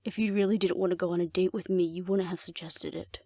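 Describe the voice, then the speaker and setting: A woman's voice: on the low side, fairly even intonation, quick pace, dark tone, dry recording, close to an everyday voice. A woman playing a cocky, flirtatious female alpha werewolf in an intimate audio roleplay, speaking to the listener in a wry, teasing, confident way. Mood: smug, playful bargaining.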